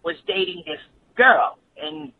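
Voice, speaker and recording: A man leaving a voicemail for a podcast, talking in a slow, careful, formal way. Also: sits high, animated, slightly rough. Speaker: voicemail caller. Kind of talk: voicemail message. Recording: tinny phone line with faint hiss.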